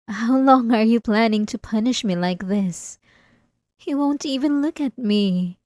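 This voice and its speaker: A woman playing an obsessive, possessive, delusional wife in an intimate ASMR roleplay, coaxing and wounded, with sighing pauses. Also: medium pitch, dark tone, no echo, studio quality. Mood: wounded, reproachful.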